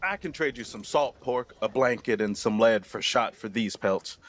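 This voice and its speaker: A man's voice: mid-range voice, moderate pace, slight background noise, natural voice. A man acting a frontier trader in an audio drama. He has a mid-range voice and a brusque, plain-spoken way, dismissing customers as he deals. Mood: businesslike.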